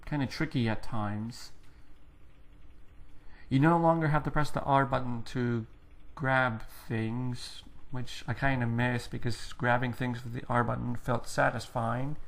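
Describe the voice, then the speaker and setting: A man's voice: mid-range voice, creaky. A young man giving casual, rambling commentary on a video game as he plays, explaining things and poking fun at himself, recorded on a headset. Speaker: let's play gamer.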